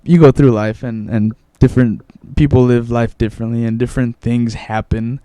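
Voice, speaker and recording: A man's voice: mid-range voice, steady tone, normal speed, dark tone, smooth, no room sound. A man in his twenties, born in Mexico with Spanish as his first language, chatting casually on a podcast and often repeating words as he recalls. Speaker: guest.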